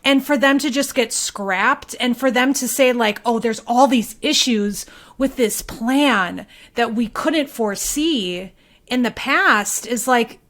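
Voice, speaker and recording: Informal woman thinking out loud on a podcast. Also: fairly high pitch. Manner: informal, hedging, thinking aloud. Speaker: podcast co-host. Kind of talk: casual conversational podcast discussion.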